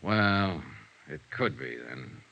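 An actor playing a sharp, confident American insurance investigator in a 1950s radio drama, brisk and clipped. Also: male voice, rumbling low, lively intonation, creaky, exaggerated voice. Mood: confident, speculative. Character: sharp, confident male insurance investigator, hard-boiled detective. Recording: dull, dry 1950s radio recording.

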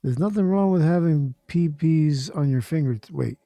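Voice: mid-range voice, moderate pace, dark tone, no echo. A host of a live audio chat, talking casually in a teasing, crude joking way.

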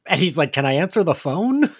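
A man's voice: fairly high pitch, big pitch swings, normal speed, slightly rough. A man co-hosting a podcast, chatting casually and animatedly as he recaps a story and acts out little quotes. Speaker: podcast co-host.

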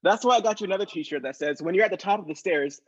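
A man's voice: sits high, fast talker, breathy edge. A man improvising an exasperated, goading friend in a comedy podcast, on dull lo-fi audio. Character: exasperated, goading dinner-party friend bearing gifts.

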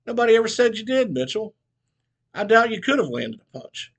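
A man's voice: high-pitched, sing-song, brisk, pitched above the performer's own voice, full character voice. A man voicing an old small-town barber, folksy and scolding. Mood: mocking.

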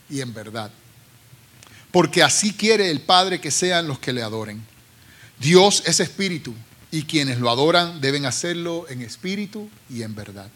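A man's voice: on the high side, pushed and bright. A middle-aged man from Puerto Rico with a Puerto Rican accent, preaching a sermon and reading scripture with joking asides and restarts. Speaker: preacher from a seminary team.

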